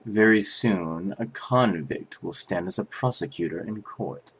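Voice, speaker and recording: A man voicing a grave, formal male chief prosecutor in a fan dub, speaking in a measured way. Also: low voice, husky, heavily acted.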